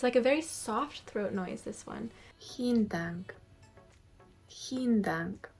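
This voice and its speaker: A young American woman chatting casually to camera, repeating foreign words to practise them and laughing at her own tries. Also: medium pitch. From United States. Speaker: vlogger.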